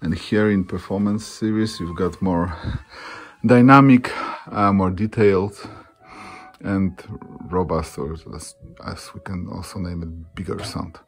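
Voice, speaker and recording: Deep-voiced man, halting product presenter. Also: breathy edge. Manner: halting, searching for words. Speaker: loudspeaker designer presenting own products.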